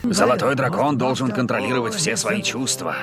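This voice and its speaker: An actor playing a solemn martial-arts master, measured and instructive, in a dubbed cartoon. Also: male voice, mid-range voice, very animated, over music.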